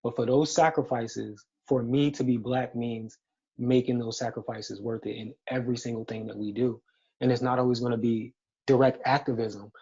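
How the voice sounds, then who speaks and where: A man who works as a beauty editor, speaking earnestly and deliberately in an interview answer. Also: medium pitch, fairly even intonation, a little mellow, breathy edge, highs cut off.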